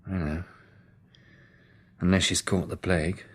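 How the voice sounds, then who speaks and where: An actor playing a brooding, plain-spoken male survivor in a radio drama, with a slightly husky voice. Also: low voice, little pitch movement, measured pace. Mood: pensive.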